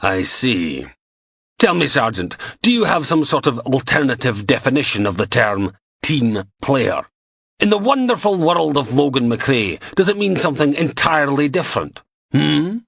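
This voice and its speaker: A man's voice: medium pitch, very animated, gravelly, fairly bright tone, slightly rough, dry recording, clean studio sound, voice pushed up, heavily acted. A man voicing a smug, condescending male head of detectives. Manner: condescending, measured.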